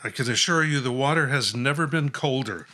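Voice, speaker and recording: Actor as a tired strict priest headmaster, curt, sharp forceful voice, audio play. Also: male voice, mid-range voice, a little fast, natural voice. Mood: guarded. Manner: curt, exasperated, admonishing. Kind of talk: audio drama acting.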